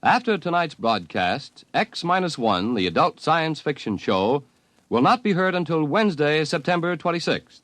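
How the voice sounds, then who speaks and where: A man's voice: a little high, very animated, normal speed, a bit bright, dry recording. A man reading scripted network announcements in 1950s radio style.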